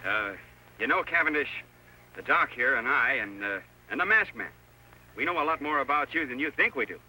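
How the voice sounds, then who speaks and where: An American actor playing a blustery, folksy Western sheriff in an old-time radio drama. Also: male voice, mid-range voice, expressive, normal speed, pushed and bright, husky, full character voice, poor audio. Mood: earnest. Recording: old radio broadcast with music behind.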